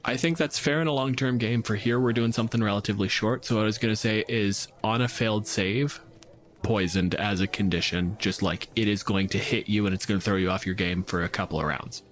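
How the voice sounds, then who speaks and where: A man's voice: on the low side, brisk. A man speaking informally as a game master, narrating scenes and ruling on play with casual swearing.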